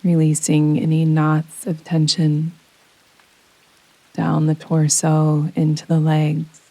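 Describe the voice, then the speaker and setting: A woman gently guiding a yoga nidra relaxation meditation in a soothing, unhurried manner with long pauses. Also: deep voice, completely flat delivery, dry recording, low-quality recording. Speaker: meditation guide. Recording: close dry voice over rain sounds. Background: soft rain sounds.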